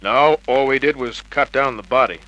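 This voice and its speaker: A man plays a tough Australian private eye in a vintage radio drama. His voice is forceful and mid-pitched, clipped and wry as he narrates. The old recording carries a steady noise. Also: normal speed, dull audio, natural voice. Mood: dry, questioning. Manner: clipped, hard-boiled, matter-of-fact. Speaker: lead actor and narrator. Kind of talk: 1950s radio detective drama acting.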